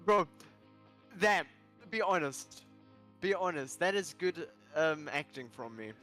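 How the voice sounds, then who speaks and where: A man's voice: on the high side, lively intonation, slow pace, fairly bright tone. A man on a live stream, chatting casually and reading visual novel narration aloud. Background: music.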